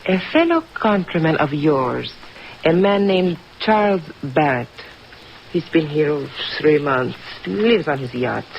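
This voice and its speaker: An actress playing a composed, world-weary countess in an old radio drama, speaking candidly. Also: female voice, deep voice, unhurried, gravelly, full character voice. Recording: dull, noisy vintage radio recording, steady rain.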